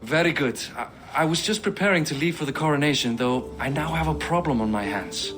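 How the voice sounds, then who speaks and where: A man's voice: on the high side, lively intonation, brisk, music in the background, close to an everyday voice. An actor playing a Norse ruler in a video game, restrained and uneasy in the way he talks. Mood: troubled. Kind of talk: video game cutscene acting.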